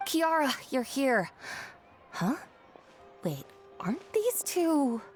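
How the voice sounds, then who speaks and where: A friendly shopkeeper designer. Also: female voice, high voice, very animated, takes it slowly, full character voice. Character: friendly adult female shopkeeper and clothes designer. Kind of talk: game voice acting. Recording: game dialogue over music.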